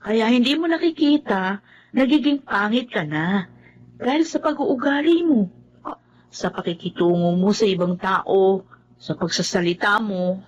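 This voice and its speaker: An actress playing a calm young woman who gently and honestly speaks her mind to a friend. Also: medium pitch, measured pace, long pauses, dull audio, close to an everyday voice. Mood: earnest. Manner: gentle, earnest.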